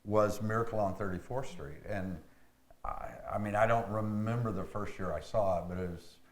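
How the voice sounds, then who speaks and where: An older American man chatting casually as an interview guest, telling things in a halting, repeating way. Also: on the low side, steady tone. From Memphis, United States. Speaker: actor in a community theatre, interview guest. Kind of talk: casual chat. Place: indoor room, television interview. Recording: echoey room.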